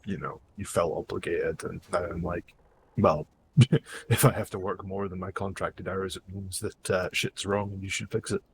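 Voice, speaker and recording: A man with a slightly low voice chats casually over voice chat, hesitating often with ums and restarts. A faint hiss of noise sits behind his voice. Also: fairly even intonation, moderate pace, vocal fry. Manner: rambling, hesitant, explains game mechanics. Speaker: friend on voice chat.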